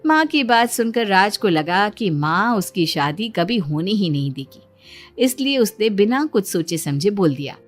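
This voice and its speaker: A woman's voice: mid-range voice, very animated, measured pace, faint music behind. A woman telling a scripted moral story as its narrator.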